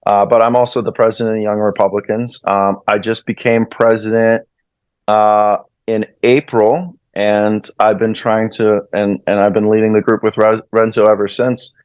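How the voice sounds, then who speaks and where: A man's voice: fairly low pitch, level tone, normal speed, clear, resonant tone, dry recording. A young American man, a recent college graduate, introducing himself casually with many fillers as an interview guest. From United States. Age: young adult. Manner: casual, filler-heavy, hesitant. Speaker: guest, club president.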